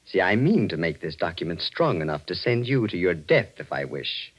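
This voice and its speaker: An actor playing a sinister, obsessed and menacingly polite murderer in a 1940s radio mystery, speaking formally and deliberately. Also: male voice, sits low, sing-song, slightly rough, heavily acted. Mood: coldly threatening. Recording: dull old radio recording.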